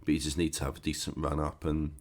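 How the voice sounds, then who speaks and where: Croaky deep-voiced man with a cold. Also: completely flat delivery, fast, darkish tone. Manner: chatty, rambling, sarcastic asides. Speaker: gaming channel host. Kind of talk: casual game walkthrough commentary.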